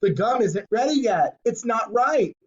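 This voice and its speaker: A man voicing a gentle, fretful eccentric inventor, in a muffled recording. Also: very high voice, expressive, measured pace, pitched above the performer's own voice. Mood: alarmed. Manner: gentle and fretting.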